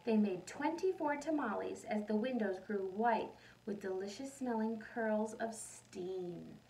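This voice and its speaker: A woman reading a picture book aloud like a teacher, animated, pausing to put questions and asides to young listeners. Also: mid-range voice, creaky, slight background noise. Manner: animated storytelling, addresses young listeners directly. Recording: voice distant from the microphone.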